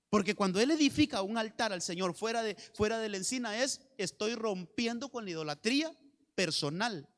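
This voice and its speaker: High-pitched Honduran preacher man in reverberant hall. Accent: Honduran Spanish. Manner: exhortative, repeats phrases, often addresses listeners directly. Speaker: pastor. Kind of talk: evangelical sermon.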